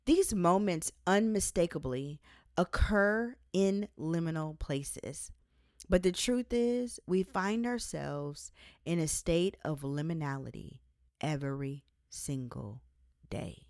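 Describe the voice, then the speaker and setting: Female author-narrator for devotional nonfiction, slightly low register, measured and emphatic delivery, dry recording. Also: animated, a little mellow, dry recording. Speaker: author reading their own book aloud.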